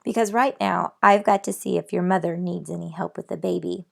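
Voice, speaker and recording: A woman voicing a gentle, busy father who speaks mildly and a little hurriedly. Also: lowish voice, fairly even intonation, a little fast, vocal fry.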